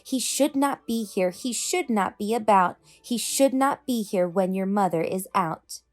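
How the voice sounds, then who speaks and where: A woman's voice: medium pitch, expressive, a little slow, close to an everyday voice. A woman voicing an indignant, panicky little pet fish in a children's storybook, protesting emphatically. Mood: alarmed. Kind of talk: children's storybook read-aloud.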